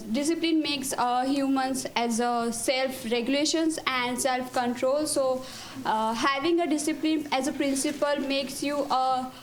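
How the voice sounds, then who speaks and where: A woman from India, a student giving a short prepared speech, hesitant and full of fillers. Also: sits high. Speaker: student presenter.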